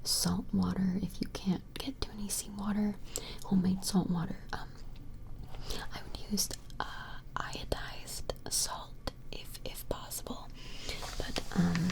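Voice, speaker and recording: Asmr lady whispering up close, breathy n gentle, bit of creak, playing a caring shopkeeper role. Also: medium pitch, poor audio. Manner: gentle, reassuring, hesitant. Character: attentive vampire shopkeeper measuring a creature customer. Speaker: ASMR role-play performer. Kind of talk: ASMR personal attention role-play. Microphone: close-up microphone.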